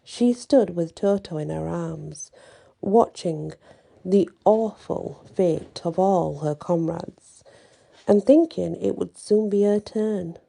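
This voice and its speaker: A woman telling a bedtime story in an ASMR style, with deliberate, dramatic phrasing. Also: on the low side, gravelly, warm tone.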